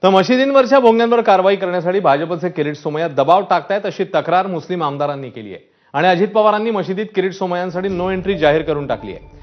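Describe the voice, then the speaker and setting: A man's voice: high-pitched, animated, quick pace. A man from reading scripted TV news in an emphatic, dramatic way. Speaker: news anchor. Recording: dull broadcast audio with music underneath.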